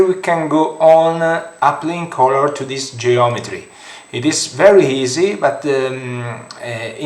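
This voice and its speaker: Italian-accented young man, non-native English, slow and halting tutorial delivery, echoey room sound. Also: mid-range voice. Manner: halting, many fillers and restarts. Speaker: software tutorial creator. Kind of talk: screen-recorded software tutorial.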